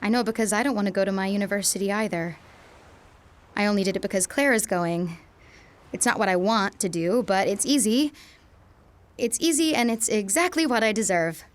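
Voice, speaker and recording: A woman's voice: mid-range voice, brisk, natural voice. A young woman playing an emotional teenage girl speaking an intimate monologue to her boyfriend in a radio drama. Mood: resigned. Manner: intimate monologue, swings from quiet to pleading.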